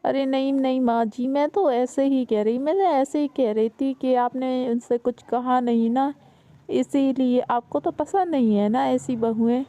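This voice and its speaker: A woman's voice: a little high, slow, vocal fry, long pauses, mellow. A woman voicing a sly elder daughter-in-law who taunts and gossips, in a raised put-on voice. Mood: placating. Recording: dull lo-fi recording.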